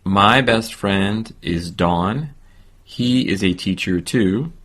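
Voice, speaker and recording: An American man in his forties giving simple, deliberate answers in a beginner English listening lesson. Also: lowish voice, fairly bright tone. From San Francisco, United States. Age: 40s. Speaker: English teacher. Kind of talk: slow, simple scripted-style interview answers for beginner learners.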